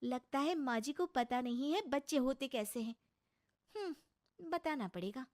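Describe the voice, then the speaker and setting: A woman's voice: high-pitched, lively intonation, a little slow, darkish tone, voice pushed up. A woman voicing a dutiful, meek and aggrieved young daughter-in-law in a story. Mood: hurt.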